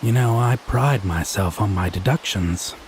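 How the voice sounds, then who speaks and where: A man's voice: on the low side, measured pace, darkish tone, slight background noise, lightly acted, poor audio. A man playing a smug, tipsy male fae who teases his lover with mocking, sardonic condescension in a theatrical audio roleplay. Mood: teasing, amused. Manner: drawling, mocking, sardonic, pet names. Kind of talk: slow theatrical audio roleplay monologue addressed to listener.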